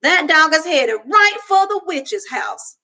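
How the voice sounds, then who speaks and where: A woman's voice: extremely high pitch, expressive, slow pace, pushed and bright, pitched above the performer's own voice, heavily acted. A woman voicing a teasing, bossy ten-year-old boy who hollers his warnings. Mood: taunting. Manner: hollering, taunting.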